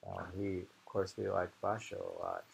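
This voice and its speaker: A man's voice: low voice, flat delivery, moderate pace, creaky. A man reading poetry and chatting casually about it, rambling and musing aloud as he goes. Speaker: poetry reader and host. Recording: noticeable background noise, amateur home recording.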